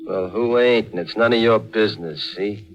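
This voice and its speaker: A man's voice: fairly low pitch, normal speed, dull audio, faint music behind, natural voice. An actor playing a tough, suspicious young man in a 1940s radio drama, curt and slangy. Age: young adult. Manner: slangy, combative, curt tough-guy talk. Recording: old 1940s radio recording.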